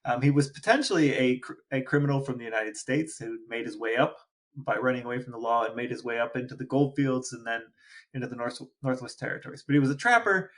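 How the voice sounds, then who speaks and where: A man co-hosting a podcast, chatting animatedly with frequent restarts and excited jumps in pitch. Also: fast. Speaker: podcast co-host. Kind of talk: casual chat.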